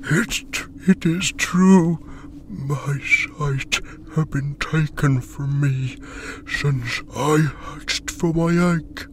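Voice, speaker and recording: A man voicing a frail, ancient blind bird hermit who speaks in creaky, breathy whispers. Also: on the high side, drawn-out words, slight background noise, pitched above the performer's own voice. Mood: ominous.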